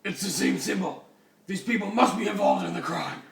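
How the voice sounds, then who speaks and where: A man's voice: sits high, lively intonation, normal speed, vocal fry, pitched above the performer's own voice. A man voicing a grim masked vigilante detective in a terse, melodramatic way for a fan fiction reading. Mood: grave. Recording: echoey room, distant noisy mic.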